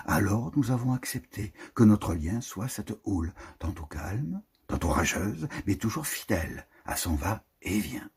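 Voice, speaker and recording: Male poetry reader, lowish pitch, heavily rasped voice, solemn declamation, deliberate phrasing. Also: darkish tone. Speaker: reader of a prose poem. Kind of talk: literary poetry reading.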